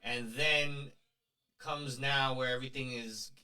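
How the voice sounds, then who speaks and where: A man co-hosting a podcast, teasing and animated in casual chat, dropping into Spanish at times. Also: medium pitch. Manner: teasing, animated, quick interjections. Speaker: podcast co-host.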